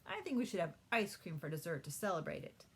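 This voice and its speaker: Fair-minded, encouraging storybook father female reader, measured, slightly husky. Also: on the low side, big pitch swings, full character voice. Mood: pleased. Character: kindly, fair-minded father of young children.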